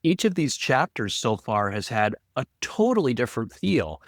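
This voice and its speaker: A man's voice: mid-range voice, big pitch swings, moderate pace, a little hiss or hum. A man co-hosting a casual podcast discussion as its audio producer, with dry, teasing banter.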